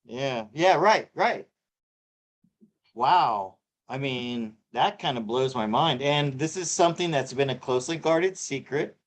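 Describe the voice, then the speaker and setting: Halting middle-aged man, full of fillers. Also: medium pitch. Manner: halting, repeats words, many fillers. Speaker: co-host reading tarot cards. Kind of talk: casual live-stream chat.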